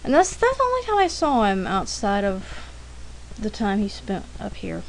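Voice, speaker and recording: A woman's voice: mid-range voice, wildly swooping pitch, moderate pace, slight background noise, rough recording. A woman giving casual, rambling, anecdotal commentary as an artist, in a chatty, informal manner. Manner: chatty, informal, frequent throat clearing. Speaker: artist narrating a drawing demonstration.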